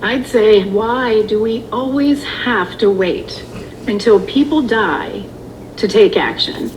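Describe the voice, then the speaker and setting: A woman's voice: mid-range voice, expressive, slow, a little mellow, slight background noise, rough recording. A woman speaking as an interviewee in a television news interview. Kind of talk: answering in a TV interview.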